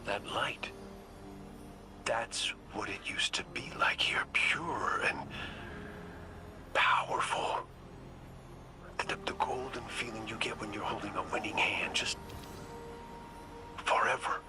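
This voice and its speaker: An actor voicing a roguish, wisecracking robot gunslinger in a video game, cocky but soft-hearted, with a wry conversational delivery. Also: male voice, lowish voice, steady tone, slow, long pauses, over music. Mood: wistful. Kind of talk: video game cutscene acting.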